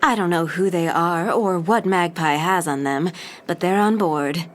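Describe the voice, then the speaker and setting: A woman's voice: fairly low pitch, unhurried, close to an everyday voice. Woman acting a cunning femme fatale gang boss. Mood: confident, persuasive. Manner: smooth, confident, persuasive. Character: cunning, seductive femme fatale crew leader. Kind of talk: scripted audio drama acting.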